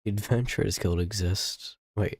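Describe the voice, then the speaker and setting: A man's voice: fairly low pitch, normal speed, a little mellow, husky, no room sound, studio quality. A young man giving casual, chatty commentary as he plays a video game, thinking aloud. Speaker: gaming streamer.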